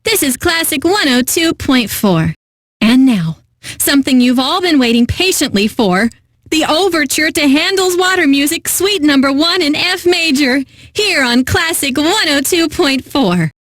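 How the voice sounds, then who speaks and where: An actress playing a refined, eccentric classical radio host in a video game, speaking in a pompous, theatrical way that savors each phrase. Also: female voice, high voice, lively intonation, a little slow, fairly bright tone, dry recording. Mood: poised, refined.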